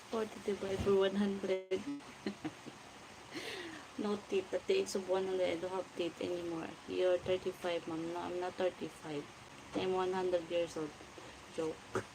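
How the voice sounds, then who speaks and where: A Filipino woman in her forties with a Filipino accent talks slowly and flatly, and her voice has a gravelly edge. She greets her livestream viewers by name and answers their comments. Steady background noise runs under her voice. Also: fairly low pitch. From Philippines. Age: 40s. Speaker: livestream vlogger. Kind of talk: casual livestream chat reading comments. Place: home at night.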